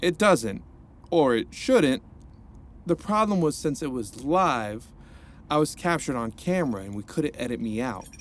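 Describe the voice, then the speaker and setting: An actor playing an earnest reformed supervillain turned counselor, speaking gently and encouragingly while narrating an audio drama. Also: male voice, mid-range voice, animated, a little slow, a little hiss or hum, close to an everyday voice. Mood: reflective.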